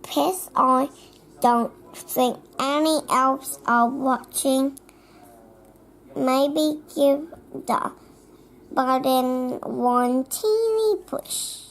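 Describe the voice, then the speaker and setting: Child reader, high-pitched voice, very slow and halting delivery of a picture story. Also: slight background noise. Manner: halting reading, word by word, repeating phrases. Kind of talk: read-aloud of a children's picture book.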